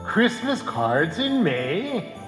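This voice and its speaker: An actor playing a wry, obliging tradesman taking orders, with drawn-out delivery, in a live old-time radio comedy. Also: high-pitched, very animated, a bit bright, heavily acted. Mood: incredulous. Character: wry male print-shop tradesman taking phone orders. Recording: live hall recording, long reverb, music.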